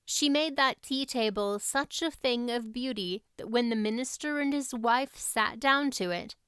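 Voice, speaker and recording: A woman's voice: fairly high pitch, a bit bright, smooth, dry recording, clean studio sound. A woman narrating a classic novel as a scripted audiobook reading.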